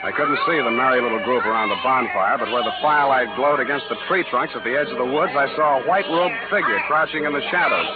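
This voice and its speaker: A man playing a wry, hard-boiled private detective, narrating his case deadpan in 1940s radio style. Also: mid-range voice, quick pace, few pauses, natural voice. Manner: deadpan, wisecracking. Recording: tinny old radio recording with loud music.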